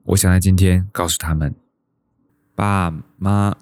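A man's voice: low-pitched, little pitch movement, measured pace, darkish tone, no echo, clean studio sound. An actor with a Taiwanese Mandarin accent playing a timid, despondent bank employee in his thirties, narrating his life introspectively in a radio drama. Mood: wistful, resigned. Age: 30s.

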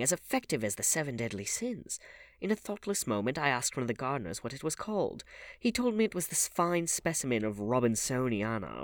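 A man voicing a witty, languid aristocratic gentleman who speaks in arch, theatrical epigrams in a novel. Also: mid-range voice, no room sound, clean studio sound, pitched above the performer's own voice.

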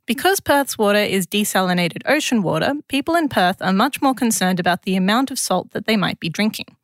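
A woman's voice: medium pitch, animated, a little fast, no room sound, clean studio sound. A woman with an Australian accent presents a scripted science explainer in a conversational, lightly wry way.

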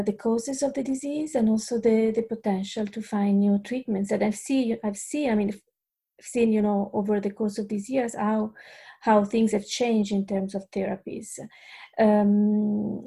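Italian-accented woman, husky and gravelly. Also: mid-range voice, dark tone. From Italy. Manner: hesitant, many fillers and restarts. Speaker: neurologist and researcher guest. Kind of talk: podcast interview.

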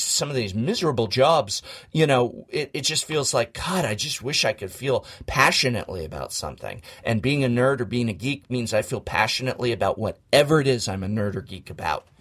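A man's voice: medium pitch, fairly bright tone, husky. A man chatting casually on a podcast in an earnest, emphatic, speechmaking way.

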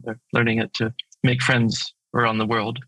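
Husky-voiced man eagerly explaining a language. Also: mid-range voice, completely flat delivery, moderate pace, fairly bright tone, no room sound, low-quality recording. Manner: enthusiastic, explains with examples. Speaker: guest, language project founder. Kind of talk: explaining in an interview. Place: remote call from his home city.

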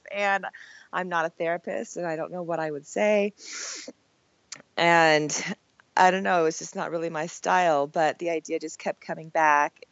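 A woman in her thirties, hoarse from a cold, chatting casually as a podcast guest in a self-deprecating, laughing way. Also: deep voice, dry recording, muffled recording. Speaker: podcast guest, marriage blogger. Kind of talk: casual interview chat.

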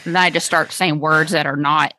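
A woman's voice: deep voice, steady tone, moderate pace, sharp tone, no room sound. A woman with a Texan accent co-hosting a podcast in casual chat, rambling with restarts and laughing often. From Texas, United States. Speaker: podcast co-host.

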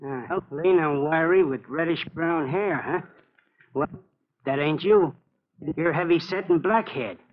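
An actor playing a chatty, nosy bartender with folksy, slangy talk in a 1940s radio thriller. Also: male voice, on the high side, a little slow, natural voice. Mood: relieved, friendly. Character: chatty, suspicious then friendly male bartender. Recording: dull 1940s radio recording.

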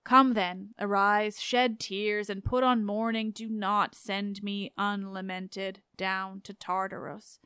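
A woman voicing the ghost of a drowned husband, speaking in a hushed, slow, sorrowful way. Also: mid-range voice, little pitch movement, clear, resonant tone, no echo, clean studio sound. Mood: mournful.

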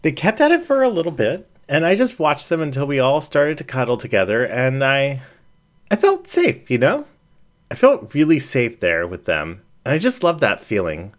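A woman's voice: extremely low pitch, huge pitch swings, normal speed, heavily acted. A reader voicing a shy young female raccoon who talks in hesitant, emotional bursts. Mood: shy, tender.

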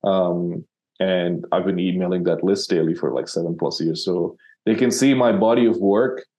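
A man's voice: low-pitched, moderate pace, warm tone, highs cut off. A man from Sri Lanka, a copywriter guest in an interview, talking casually and rambling with many fillers and restarts.

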